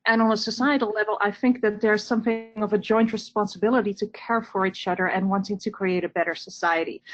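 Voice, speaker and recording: A woman from the Netherlands with a Dutch accent, answering questions on a webinar panel in an earnest, measured way with small restarts. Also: mid-range voice, dry recording, muffled recording. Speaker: nonprofit representative.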